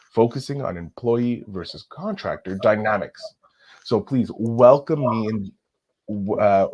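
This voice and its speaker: A man from Canada with a slightly husky, unpolished voice hosts an interview podcast. He sits at a middling pitch and reads introductions and puts questions, now and then tripping over or repeating his words. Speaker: podcast host.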